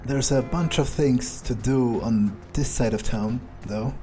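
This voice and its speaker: A man role-playing a ruthless, casually murderous vampire thinking aloud in improvised game commentary, musing, hesitant and foul-mouthed, with dark amusement. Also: mid-range voice, a little slow, lightly acted. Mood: musing, darkly amused. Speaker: gaming streamer role-playing his character. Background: game music.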